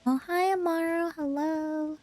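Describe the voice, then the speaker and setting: A woman's voice: very high-pitched, steady tone, measured pace, a little mellow, soft background music. A woman chatting casually as she streams a video game. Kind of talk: casual live stream chat.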